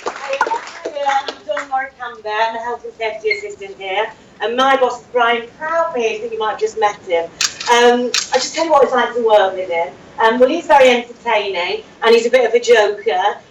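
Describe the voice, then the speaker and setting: A woman's voice: fairly high pitch, normal speed, highs cut off, natural voice. A woman playing a hesitant safety assistant. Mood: mild. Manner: hesitant, many fillers, mild. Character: mild female safety assistant. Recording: roomy distant room with faint noise.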